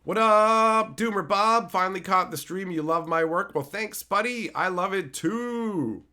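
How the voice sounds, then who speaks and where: Male streamer, hoarse with a cold, loose and foul-mouthed, reading chat aloud. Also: sits high, a little slow, bright, forceful tone. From Canada. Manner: loose, swears freely, answers chat by name. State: hoarse from being sick. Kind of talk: casual live-stream chat.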